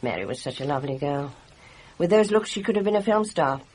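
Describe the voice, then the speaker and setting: An actress playing a gossipy, opinionated village nurse with a British accent, chatty and judgmental in a radio drama. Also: female voice, low-pitched, lively intonation, brisk, darkish tone, a little hiss or hum, poor audio. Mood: chatty, gushing. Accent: British English.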